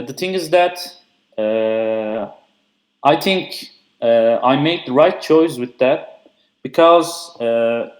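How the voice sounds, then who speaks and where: Young man, mid-pitched and loose, talking shop over an echoey remote feed. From Turkey. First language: Turkish. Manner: frequent "uh" fillers, loose and chatty. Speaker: conference speaker. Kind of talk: casual technical talk. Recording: echoey remote call audio.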